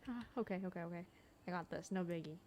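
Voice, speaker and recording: A woman's voice: lowish voice, quick pace, a little mellow, slight background noise. A woman giving casual, informal gameplay reactions, muttering asides as she plays. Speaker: gamer reacting to a playthrough. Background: game audio.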